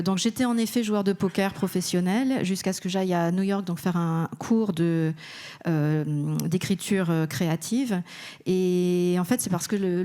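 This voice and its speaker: A woman interpreting a guest's answer at a panel, steady with a few hesitations. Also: fairly low pitch. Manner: steady, slightly halting rendering. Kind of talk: consecutive interpreting.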